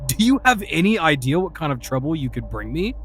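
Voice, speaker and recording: A man's voice: on the high side, very animated, fairly quick. An actor playing a scornful, exasperated male warlock in a fantasy audio drama, scolding and sarcastic. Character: irritable, scornful male dark magician and warlock. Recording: music behind the voice. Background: music.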